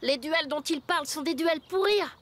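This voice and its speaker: A woman voicing an excitable, cocky young boy, a best pal with a punchy slangy way of talking, in a cartoon. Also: sits high, moderate pace. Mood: cocky, persuading. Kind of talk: French animated cartoon dubbing.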